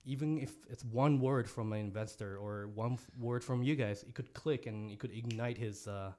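A young man raised in Japan, a podcast guest speaking earnestly and softly with halting restarts, on a studio mic in an office. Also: mid-range voice. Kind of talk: podcast interview. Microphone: studio mic on boom, headphones.

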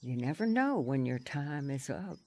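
A woman's voice: extremely low pitch, sing-song, normal speed, mellow, no room sound, full character voice. A woman playing a pious, plainspoken old country grandmother in a radio drama, solemn and folksy in manner. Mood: grave, consoling.

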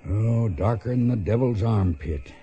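An actor playing a seasoned, loyal gunfighter mentor with folksy, low-key Western talk in a radio drama. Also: male voice, lively intonation, a little slow, mellow, husky, highs cut off, soft background music. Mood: fond, hushed.

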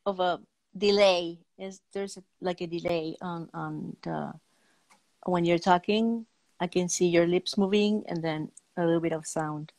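Middle-aged woman, lowish voice, dry sound. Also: fairly even intonation, unhurried, darkish tone, no echo, low-quality recording. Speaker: talk show host. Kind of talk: casual interview. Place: indoor room, on a video call.

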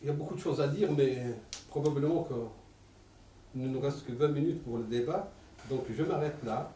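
Older man, Arabic accent, animated lecturer, mellow voice, echoey distant mic. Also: medium pitch, normal speed, slight background noise. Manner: animated, gesturing, many repeated words. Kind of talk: public talk. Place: lecture room. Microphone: table stand mic.

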